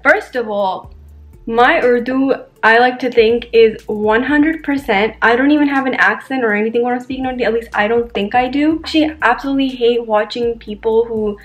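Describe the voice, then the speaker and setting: A woman's voice: fairly high pitch, roomy sound. A young woman from New York chatting candidly to camera in her bedroom, casual and full of fillers. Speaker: lifestyle vlogger. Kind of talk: casual vlog chat to camera. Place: bedroom indoors.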